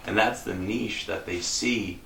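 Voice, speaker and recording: A man's voice: low voice, unhurried, vocal fry, a bit bright, a little hiss or hum. A man talking casually at a convention, exasperated and profane. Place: convention hall. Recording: echoey hall, mic far off.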